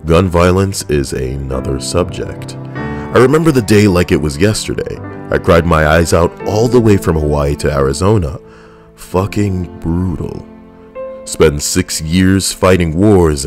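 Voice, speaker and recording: A young man with a very low voice recounts a personal story in a blunt, conversational way, swearing freely. Soft background music plays faintly under his voice. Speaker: veteran storyteller.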